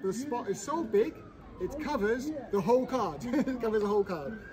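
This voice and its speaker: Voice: mid-range voice, expressive, normal speed. A street magician doing card-trick patter for onlookers on an outdoor city street, playing with words and often checking in with "okay?". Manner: wordplay-heavy patter, keeps checking in with "okay?". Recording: street recording with a crowd nearby.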